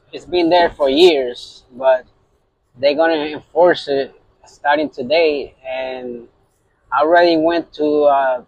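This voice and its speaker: A man's voice: fairly high pitch, gravelly, no echo, rough recording. A man from Puerto Rico, a truck driver, talking casually to his phone in a rambling way full of fillers and restarts. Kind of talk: casual vlog talk to camera.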